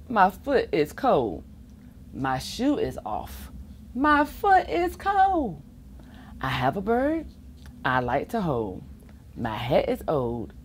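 Middle-aged woman, children's storytime read-aloud, very slow, hugely varied intonation, playful, expressive. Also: rumbling low, a little hiss or hum. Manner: expressive, chuckling asides, acts out the rhymes. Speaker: guest reader of a children's picture book. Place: library children's reading corner, indoors.

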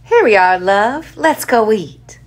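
A woman playing a doting, oblivious grandmother in an audio play, with drawn-out, singsong fussing. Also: mid-range voice, lively intonation. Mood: doting, coaxing. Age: older.

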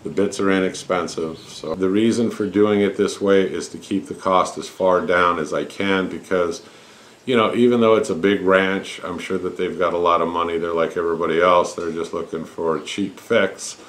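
A man's voice: low voice, steady tone, moderate pace, fairly bright tone. A man in his fifties explaining things step by step in a casual, folksy way, as the host of a hands-on tutorial. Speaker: host of a home welding tutorial channel. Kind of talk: casual explanatory shop talk. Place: cluttered home garage workshop.

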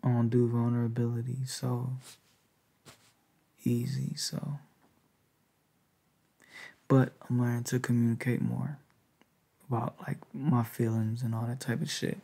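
A young man speaks softly and hesitantly in an intimate, breathy ASMR boyfriend roleplay, tender and low-key. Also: little pitch movement, a little slow, pauses often. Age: young adult. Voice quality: soft, breathy, gravelly. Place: indoors, lying on a bed in a bedroom.

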